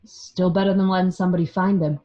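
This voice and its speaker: A woman's voice: on the low side, steady tone, fast talker, warm tone, close to an everyday voice. A woman playing a blunt, hard-nosed male gangster in an amateur radio crime drama. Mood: blunt, urgent. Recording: dull lo-fi home connection.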